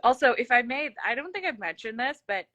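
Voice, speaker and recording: A woman interviewer with a very bright, forward voice and a slight lisp. She chats casually and effusively, rambling and poking fun at herself. The sound is dry and close. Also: fairly high pitch, big pitch swings, brisk, no echo, lo-fi sound. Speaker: interview host.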